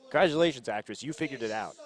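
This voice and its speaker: A man's voice: mid-range voice, expressive, very fast. A man working as an esports commentator, making dry, sarcastic remarks in casual broadcast banter.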